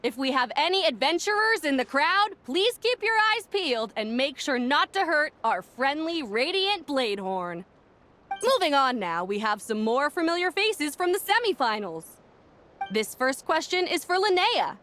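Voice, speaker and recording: A woman's voice: sits high, very animated, moderate pace. A woman playing an upbeat, showy tournament announcer and interviewer in a video game. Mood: cheerful, courteous. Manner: upbeat showy presenter delivery. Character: bubbly female tournament announcer interviewing contest finalists. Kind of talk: game voice acting, event hosting. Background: loud background music.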